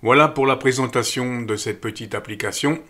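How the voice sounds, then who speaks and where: A middle-aged man calmly walking viewers through an app step by step, with frequent fillers. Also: mid-range voice, normal speed. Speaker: radio equipment channel host. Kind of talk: screen-recorded app tutorial voice-over.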